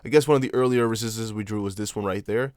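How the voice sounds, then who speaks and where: A young man whose first language is Dutch, speaking English with a Dutch accent. He hosts a casual crypto livestream, chatting loosely, reading chat aloud and thinking out loud with lots of fillers. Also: lowish voice, quick pace, dry recording, clean studio sound. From Netherlands. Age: young adult. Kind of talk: casual livestream chart analysis.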